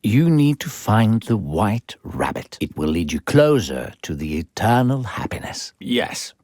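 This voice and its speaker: A sinister video game demon narrator speaks in a deep, husky voice. The delivery swoops theatrically from sugary coaxing to controlling menace, with gravel in the tone and a close, dry sound. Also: male voice, sing-song, a little slow, darkish tone, no echo. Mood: coaxing, insistent. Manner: falsely sweet, theatrical, condescending, controlling.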